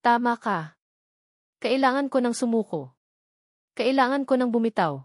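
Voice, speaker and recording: A woman's voice: fairly high pitch, lively intonation, normal speed, no room sound, studio quality, voice pushed up, close to an everyday voice. A woman voicing a grieving, calculating man in an audio story, earnest and pleading as he feigns surrender. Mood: weary surrender.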